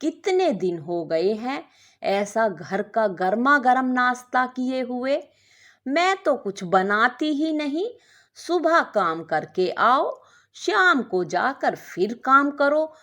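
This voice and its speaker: A woman's voice: a little high, very animated, slow pace, a bit bright, heavily acted. A woman storyteller voicing a nosy, scornful working woman who exclaims and needles with pity. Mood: amazed, complaining.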